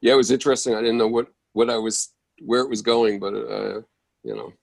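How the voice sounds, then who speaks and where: A man's voice: on the low side, steady tone, brisk, creaky, no echo, studio quality. A man who acted in the reading, talking hesitantly and laughing easily on a video call. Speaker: actor in the reading. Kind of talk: casual group discussion.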